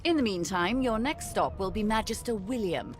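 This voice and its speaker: A woman's voice: mid-range voice, animated, moderate pace, soft background music, close to an everyday voice. A woman playing a smug, condescending jailer in a video game, teasing and patronising with mock sweetness. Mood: breezy, smug. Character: smug, condescending female prison-ship magister, mockingly sweet jailer.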